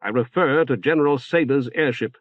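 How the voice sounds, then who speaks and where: A man reading an audiobook, playing a calm, formal and articulate male leader. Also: mid-range voice, brisk, no room sound, dull audio, pitched above the performer's own voice, lightly acted. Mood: reasoned, firm.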